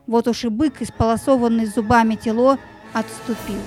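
A woman narrating a children's story in an expressive, suspenseful, dramatic scripted style. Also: a little high, moderate pace, faint music behind.

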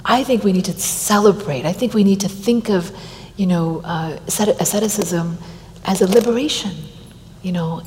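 Low-voiced woman, reflective, in a hall. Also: a little mellow. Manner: reflective, many "you know" fillers. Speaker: panelist. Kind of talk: panel discussion answer. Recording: long hall reverb, faint noise.

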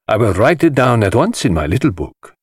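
A man's voice: lowish voice, big pitch swings, fairly quick, slightly rough, no room sound, clean studio sound, voice pushed up, full character voice. A man voicing a flamboyant, mocking, sinister middle-aged host in an audiobook, with a drawling, hissing, theatrical manner. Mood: gleeful, excited. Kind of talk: dramatised audiobook dialogue.

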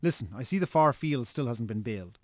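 Actor as chiding Irish brother, dry sound. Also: male voice, mid-range voice, animated, a little fast, darkish tone, no room sound, natural voice. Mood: matter-of-fact. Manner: colloquial, chiding. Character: reproachful, sensible Irish brother, restless farmhand. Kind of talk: naturalistic radio drama acting.

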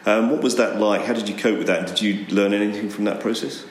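A man's voice: on the low side, steady tone, fast talker, a little hiss or hum. A man interviewing a guest as a broadcast presenter, affable, with lots of "right" and "hmm". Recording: roomy, with faint background ambience.

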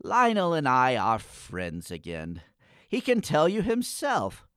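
An actor playing a morose, dryly polite young male poet in a comic gothic radio play, with a theatrical, wordy, deadpan manner. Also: a little high, slow pace, clear, resonant tone, no echo, studio quality, exaggerated voice. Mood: wry.

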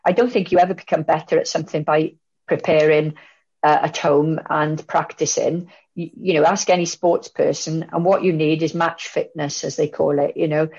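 Woman guest speaker, low voice, candid and thoughtful, muffled call audio. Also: little pitch movement, moderate pace, a little mellow, breathy edge. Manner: candid, reflective, many fillers and restarts. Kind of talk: online conference Q&A answer. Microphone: video call.

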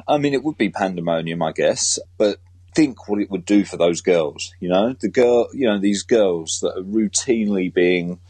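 A man's voice: deep voice, moderate pace, a little mellow, slightly rough, no echo. A British man, a teacher being interviewed, talking earnestly and emphatically in a conversational way. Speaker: school teacher and author, interview guest.

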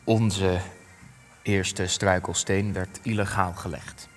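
An actor playing a weary, defensive elderly war veteran in a Dutch radio play, speaking deliberately with hesitations and rhetorical turns. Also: male voice, deep voice, flat delivery, soft background music. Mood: grave, halting. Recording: long reverberant hall.

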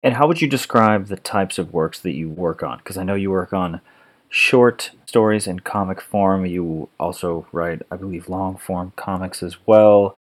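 A man hosting a podcast, asking his guest questions in a friendly, relaxed way. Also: low-pitched, moderate pace. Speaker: podcast host. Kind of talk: podcast interview.

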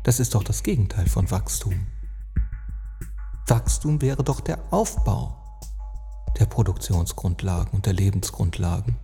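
Very mellow, reverberant man's voice over music. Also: fairly low pitch, gravelly, breathy edge. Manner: rhetorical, emphatic, deliberate phrasing. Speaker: speaker and author on climate and sustainability. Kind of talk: earnest reflective essay narration for an audio piece. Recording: long reverb, music underneath.

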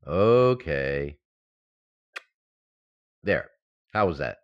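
Absent-minded radio sitcom husband, slow, big swoopy pitch, slightly dull recording. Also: male voice, sing-song, full character voice. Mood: breezy, affectionate. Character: distracted, mild-mannered husband and man about town. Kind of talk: old-time radio sitcom acting.

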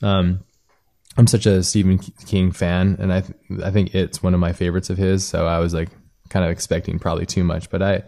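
A man's voice: deep voice, flat delivery, fairly quick, creaky, warm tone. A man hosting a casual interview, rambling a little as he searches for words. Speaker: podcast host and interviewer.

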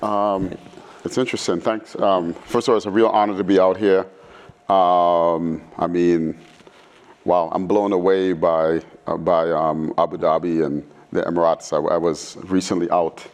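A middle-aged man from Trinidad who later lived in New York, answering interview questions in an informal, halting, thoughtful way. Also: low voice, flat delivery, darkish tone. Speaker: guest speaker, physics professor. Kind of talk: conversational interview answers, reminiscing.

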